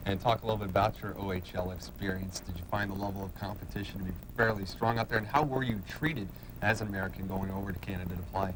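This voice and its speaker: Male TV sports interviewer. Rapid, slightly low voice, long-winded questions, noticeable noise behind. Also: fairly even intonation. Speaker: sports show host and play-by-play announcer. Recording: clearly noisy background.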